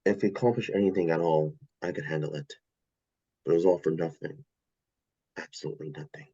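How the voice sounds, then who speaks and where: A man's voice: a bass voice, steady tone, rapid-fire, a little mellow, breathy edge, muffled recording, full character voice. A man playing a bitter, resigned condemned hero in a dramatic script read.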